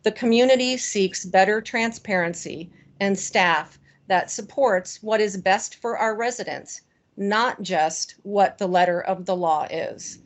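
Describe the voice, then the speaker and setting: Woman reading her comment to the city council, firm and steady, mid pitch. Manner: firm and measured, with "um" and "uh" fillers at the start. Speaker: resident giving public comment. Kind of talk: reading a prepared statement.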